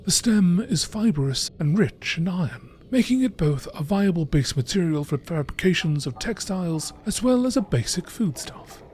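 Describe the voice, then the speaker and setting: A man reading scripted narration in a nature documentary style, in a measured, informative manner. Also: sits high, animated, darkish tone.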